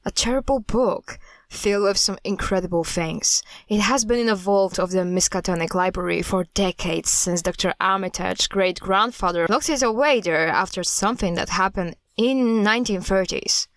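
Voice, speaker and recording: Audio drama: blunt female cult hunter, played by a woman, matter-of-fact, mid-pitched, dry sound. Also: normal speed, no room sound, lightly acted. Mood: grave, wary. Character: blunt, guarded woman hunting a cult, estranged daughter.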